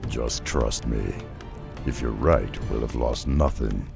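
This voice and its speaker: A man's voice: a bass voice, takes it slowly, music in the background, full character voice. A man voicing a blunt, loyal male half-ogre beast hunter in a video game cutscene. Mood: gruff. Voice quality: very gravelly. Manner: blunt, terse and gruff.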